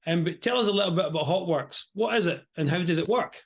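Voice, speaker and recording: A man's voice: sits high, fast, gravelly. A European-born man hosting a casual interview over a video call, chatty, often restarting and repeating his words. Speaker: interview host.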